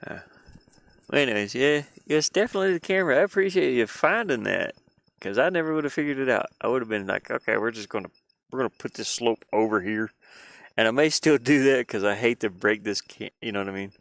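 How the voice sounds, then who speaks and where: A man chatting casually on a live stream as he works on a game, halting and muttering his thoughts aloud. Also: medium pitch, animated, creaky, a bit bright, no echo. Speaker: hobby game developer streaming his work. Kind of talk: casual live-stream chat while working.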